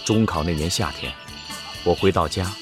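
An actor in a radio drama playing a grown son narrating memories, sincere and reflective. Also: male voice, low-pitched, normal speed, natural voice. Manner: sincere, storytelling. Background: music.

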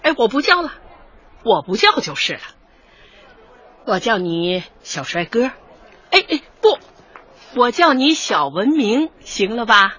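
An actress playing a folksy, chatty middle-aged neighbourhood peacemaker in a light comedy radio drama. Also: female voice, a little high, big pitch swings, a little slow, long pauses, bright, forceful tone, breathy edge, muffled recording, a faint crowd, heavily acted. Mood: amused, soothing. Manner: folksy, chatty, exclamatory, conciliatory. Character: bubbly, peacemaking older neighbourhood woman.

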